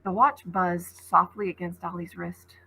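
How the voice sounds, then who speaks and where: A woman teacher reading a novel aloud to her class, in an expressive storytelling manner. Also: a little slow, vocal fry, husky. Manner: expressive read-aloud, voices rising high on called names.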